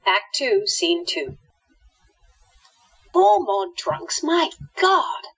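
A woman performing scripted radio drama and narration in a dramatic, expressive manner. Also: fairly high pitch, drawn-out words, lo-fi sound, a little hiss or hum, full character voice. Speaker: narrator and character actress.

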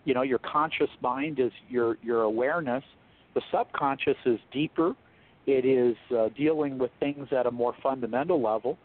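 A man speaking as a guest theologian in an interview, explaining in a measured, thoughtful, scholarly way over a thin phone-like line. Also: medium pitch. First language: English.

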